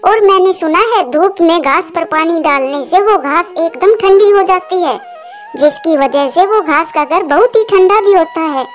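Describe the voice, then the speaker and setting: Lady doing eager little bird girl for a cartoon, high n sweet, music behind. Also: measured pace. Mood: eager, pleading. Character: young chick daughter, sweet, eager, pleading. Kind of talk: Hindi moral-story cartoon dubbing.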